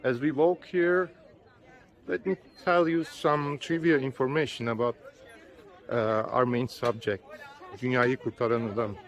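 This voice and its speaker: A man's voice: mid-range voice, fairly even intonation, measured pace. Turkish-accented man vlogging outdoors. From Turkey. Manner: chatty, with fillers, loose phrasing. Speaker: travel vlogger. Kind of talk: casual travel vlog narration. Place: outdoors at an open-air museum. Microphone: built-in camera mic.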